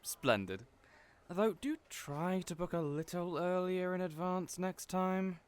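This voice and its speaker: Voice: medium pitch, slow, smooth, lightly acted. An actor in an audio roleplay playing a cheerful, chatty hairdresser who is theatrical, effusive and playfully teasing. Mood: gushing.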